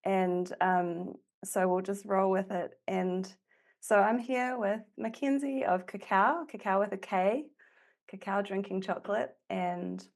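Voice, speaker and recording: A woman podcast host with a gravelly, slightly hoarse voice after nearly losing it, talking casually and effusively as she introduces things. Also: mid-range voice.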